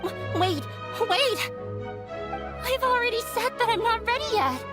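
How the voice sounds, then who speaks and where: A woman's voice: very high-pitched, expressive, a little slow. An actress voicing a shy, anxious, self-doubting young woman in a video game, with a timid and hesitant delivery. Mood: panicked. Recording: music behind the voice.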